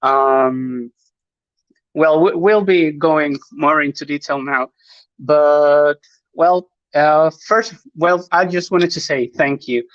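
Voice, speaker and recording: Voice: mid-range voice, slow pace, no echo, highs cut off. A speaker from Chile with a Chilean Spanish accent, a native Spanish speaker, giving a live online panel talk with many fillers, restarts and nervous laughs.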